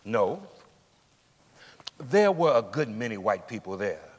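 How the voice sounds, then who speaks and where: An actor playing a steadfast working man of forty-nine on the witness stand, deliberate, hesitant and formally polite, in a dramatic stage reading. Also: on the low side, big pitch swings, husky, heavily acted. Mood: plain, polite. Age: middle-aged. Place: large indoor theatre stage. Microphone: lapel mic. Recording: echoey theatre stage, long reverb.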